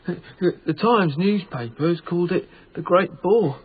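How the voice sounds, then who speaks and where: A man's voice: high-pitched, normal speed, slightly rough. An actor playing a frightened, pious Victorian night watchman who speaks formally, deferentially and hesitantly in a radio drama. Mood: respectful, hesitant. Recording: tinny band-limited radio broadcast, noisy background.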